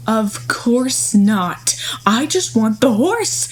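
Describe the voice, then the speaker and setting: A combative, scornful woman survivor in an apocalyptic western radio play, played by an actress. Her voice sits fairly high and she speaks at a slow, deliberate pace, blunt and cynical. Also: expressive. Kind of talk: audio drama acting.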